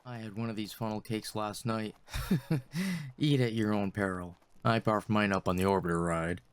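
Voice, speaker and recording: A man's voice: fairly low pitch, moderate pace, clear, resonant tone, no room sound, close to an everyday voice. A man playing a cocky, entitled teenage quarterback in an audio drama. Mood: joking.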